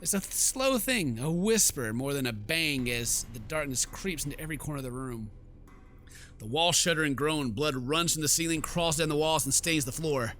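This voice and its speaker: Guy doing lets play, bright higher voice, dramatic reading plus jokey asides, game music behind. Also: moderate pace, a little hiss or hum. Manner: animated, theatrical, jokey. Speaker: gaming video host. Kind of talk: game playthrough commentary mixed with dramatic read-aloud narration.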